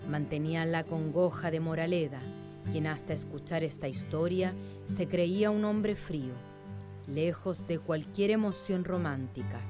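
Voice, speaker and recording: A woman narrating a radio drama in a solemn, literary manner. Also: deep voice.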